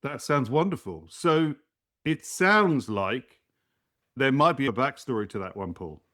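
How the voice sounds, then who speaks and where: A man's voice: medium pitch, animated, a little slow, fairly bright tone. Male podcast host reading an intro. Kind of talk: scripted introduction and interview questions.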